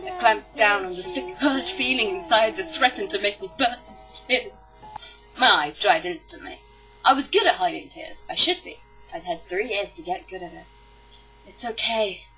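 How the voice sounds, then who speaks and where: A woman's voice: mid-range voice, animated, moderate pace, pushed and bright, faint music behind, rough recording. A woman giving a theatrical, sarcastic dramatic reading of a novel as an amateur narrator. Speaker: amateur book reader. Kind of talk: mock-dramatic audiobook narration.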